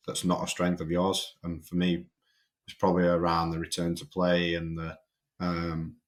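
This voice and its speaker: A young man with a Yorkshire accent speaks in a casual podcast interview. His voice sits very low and stays flat and even, and he talks haltingly, often repeating words as he restarts sentences. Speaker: strength and conditioning coach, podcast guest.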